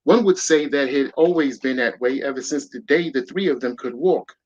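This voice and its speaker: A man's voice: medium pitch, fairly quick, slightly rough. Man reading rhyming verse aloud. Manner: rhythmic storyteller reading verse, lively emphasis. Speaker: guest author. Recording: dull lo-fi sound.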